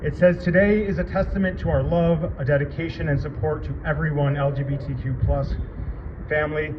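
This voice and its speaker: Male local speaker, higher than average, informal address; dull recording with loud traffic noise. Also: fairly even intonation, a little fast. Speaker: local council leader and ceremony speaker. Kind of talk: informal public speech. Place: outdoor public ceremony at a city hall. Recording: muffled, very noisy outdoor recording, traffic.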